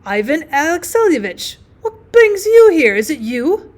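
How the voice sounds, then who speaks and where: A woman voicing a welcoming, amused gentleman passenger in an audiobook, hearty and exclamatory. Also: high voice, big pitch swings, measured pace, voice pushed up. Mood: joyful surprise. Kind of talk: acted audiobook storytelling.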